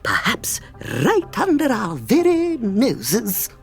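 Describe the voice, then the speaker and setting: A woman's voice: on the high side, wildly swooping pitch, slow pace, faint music behind, heavily acted. A woman playing a pompous, fussy male royal adviser with a British accent in an audio drama table read. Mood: grave, declamatory. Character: fussy theatrical male royal adviser who spins and dithers.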